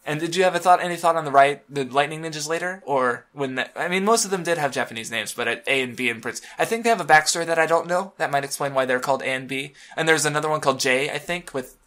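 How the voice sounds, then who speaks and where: A man chatting casually on a podcast, rambling and restarting his sentences. His voice is bright and forceful, pitched a touch high, with a slight hoarseness. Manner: rambling, halting, many restarts and fillers. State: throat-clearing, a bit hoarse. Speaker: podcast co-host. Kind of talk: casual chat about manga.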